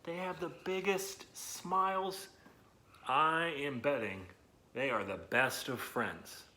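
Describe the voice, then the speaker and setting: A man hosting a read-aloud lesson for children, talking in an animated, chatty, encouraging way with emphatic words and questions to his listeners. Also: on the high side, slow, a little hiss or hum. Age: adult, not young.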